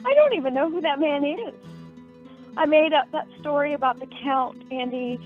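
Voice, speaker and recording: Over tinny audio with faint music comes a woman acting a young sweetheart in a radio play. Her voice sits very high, and she is earnest, emotional and given to melodrama. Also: animated, moderate pace. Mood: coy, relieved. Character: emotional young sweetheart confessing a fib, pleading.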